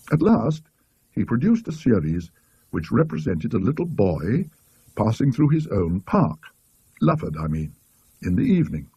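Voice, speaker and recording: A man's voice: mid-range voice, mellow, husky, dry recording, highs cut off, heavily acted. A British man voicing an indignant, gossipy country lady in a storybook, emphatic and disapproving.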